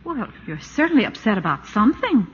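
Wry American wife, old radio. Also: female voice, fairly high pitch, sing-song, a little fast, a little hiss or hum. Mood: wry, puzzled. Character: wry, teasing, exasperated suburban wife. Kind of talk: 1940s radio comedy-drama acting. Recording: dull vintage 1940s radio recording.

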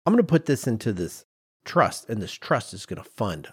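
A man's voice: lowish voice, big pitch swings, moderate pace, husky, dry recording, clean studio sound. A man, telling stories as a podcast co-host in an emphatic, self-deprecating, conversational way. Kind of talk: animated storytelling in casual podcast chat.

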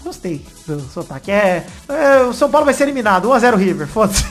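A man's voice: high voice, sing-song, brisk, soft background music. Foul-mouthed Brazilian guy ranting. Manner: swears a lot, gets loud ranting and laughs easily. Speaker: podcast panelist. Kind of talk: casual podcast chat.

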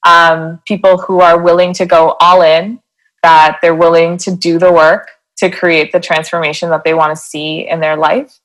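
A woman's voice: lowish voice, little pitch movement, moderate pace, fairly bright tone, low-quality recording. A woman in her thirties with a Canadian accent, a coach talking earnestly in a casual interview, rambling with many fillers. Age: 30s. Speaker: guest, transformation coach.

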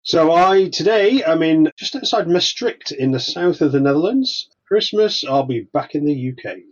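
A man's voice: fairly high pitch, animated, moderate pace, husky. A man with a Welsh accent, a guest on a podcast, talking casually with frequent restarts. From Wales. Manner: hesitant, many restarts. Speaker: guest consultant. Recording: slightly dull lo-fi sound.

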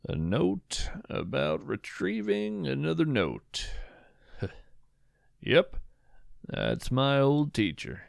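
A man's voice: mid-range voice, gravelly, no room sound, studio quality. A man hosting a storytelling podcast with scripted, poetic narration, musing and savoring his words.

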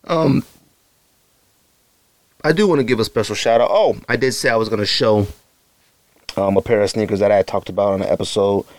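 A man's voice: fairly low pitch, smooth, no echo. A married man hosting his own podcast alone, talking casually and rambling, with many fillers, his voice jumping up when he makes a point. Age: adult, married. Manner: rambling and conversational, many "you know" fillers, voice jumps up when making a point. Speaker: podcast host. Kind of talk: casual solo podcast talk.

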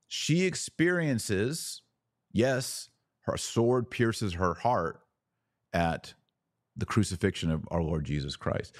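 A man speaks in a low voice that rises and falls in lively swings. He teaches like a devotional podcast host, phrasing deliberately and pausing for emphasis. The sound is close and dry. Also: no echo. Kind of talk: devotional theology talk on a live podcast.

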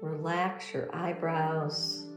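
A woman leading a guided meditation as a teacher, speaking in a gentle, soothing, unhurried instructional manner. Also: low voice, little pitch movement. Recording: roomy echo under background music. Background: meditation music.

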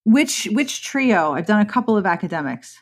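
A woman hosting a podcast conversation, talking in an animated, chatty way. She gushes about things she loves and teases herself when she loses a word. Her voice sits at an ordinary middle pitch for a woman. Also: normal speed. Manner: enthusiastic, self-deprecating, chatty. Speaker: podcast host. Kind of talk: conversational interview.

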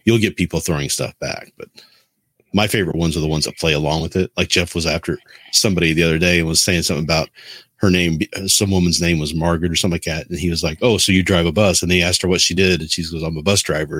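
A man's voice: low voice, steady tone, fast, a bit bright, breathy edge, dry recording. A man speaking as a podcast co-host in casual conversation, explaining things through anecdotes. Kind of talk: casual panel chat.

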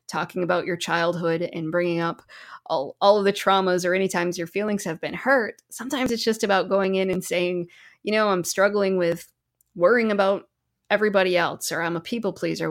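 A woman with a lowish voice and noticeable vocal fry talks as a guest expert in an interview. She explains things conversationally, often slipping into example quotes to illustrate her advice. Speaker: therapist guest.

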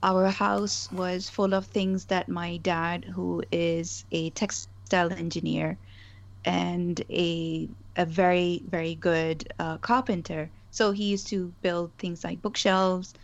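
A woman's voice: fairly low pitch, level tone, slow pace, gravelly, dull audio. A woman whose first language is Urdu, a guest in a casual interview, speaking thoughtfully with frequent restarts. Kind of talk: conversational interview.

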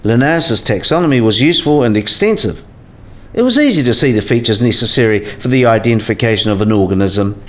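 A man's voice: medium pitch, animated, brisk, close to an everyday voice. A man playing a learned professor in a radio play, explaining science history in a precise, didactic way. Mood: measured, instructive.

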